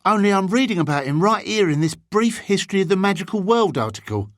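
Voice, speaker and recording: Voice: mid-range voice, lively intonation, quick pace, no room sound, clean studio sound, voice pushed up, natural voice. A narrator voicing a big, earnest, bookish schoolboy in an audiobook, serious and eager to show what he knows. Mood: curious, impressed.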